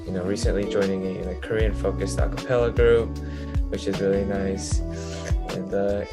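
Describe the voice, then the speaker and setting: A young man answers questions about campus life, his voice sitting low. He talks hesitantly, with frequent fillers, over clear background music. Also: level tone, normal speed. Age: young adult. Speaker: student interviewee. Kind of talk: casual interview answers.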